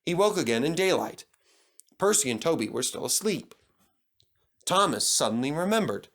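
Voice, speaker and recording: A man's voice: fairly high pitch, big pitch swings, moderate pace, fairly bright tone. A man narrating a scripted children's story as the storyteller.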